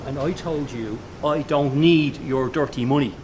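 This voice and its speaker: A man's voice: mid-range voice, measured pace, a little mellow, a little hiss or hum, close to an everyday voice. A man playing a weary, irritable middle-aged circus boss in a radio drama, speaking in a curt, exasperated way. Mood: defensive, terse. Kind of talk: naturalistic radio drama acting.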